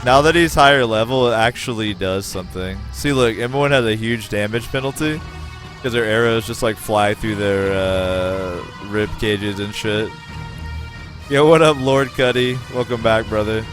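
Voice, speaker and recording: An American man streaming a game, giving excitable, profane play-by-play and chatting with viewers. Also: on the low side, moderate pace, soft background music. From United States. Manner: excitable, profane, talks to chat. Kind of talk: casual gameplay commentary.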